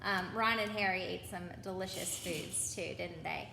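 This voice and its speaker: A woman's voice: fairly low pitch, moderate pace, gravelly, sharp tone. A woman reading a children's story aloud, with chatty asides to her young listeners. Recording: echoey room with background noise.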